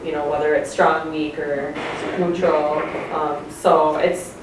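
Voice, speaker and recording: Distant, very echoey low-voiced woman in audience. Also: little pitch movement, slow pace. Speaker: audience member. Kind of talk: giving feedback in a discussion. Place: lecture hall audience, off mic. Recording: very echoey room, distant, noisy.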